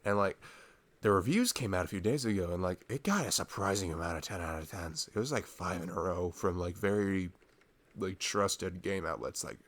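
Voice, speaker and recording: A man's voice: low-pitched, animated, moderate pace, fairly bright tone. A man chatting informally and intimately as a boyfriend in an ASMR roleplay, rambling and ranting. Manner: informal ranting, breaking into chuckles and sighs. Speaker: audio roleplay performer playing a boyfriend.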